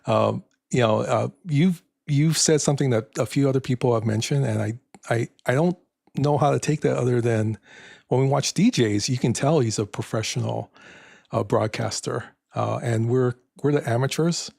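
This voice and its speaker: An older man with a raspy voice hosting a live stream in casual chat, soft-spoken and halting with many fillers. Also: medium pitch, no echo, studio quality.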